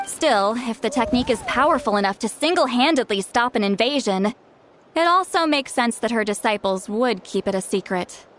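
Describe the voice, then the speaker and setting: Haughty game shaman, grumpy elder attitude, high lively voice. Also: moderate pace, faint music behind. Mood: scheming. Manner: haughty, teasing, self-assured. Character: proud grumpy female shaman, sharp-tongued elder. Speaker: game character. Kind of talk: video game voice acting.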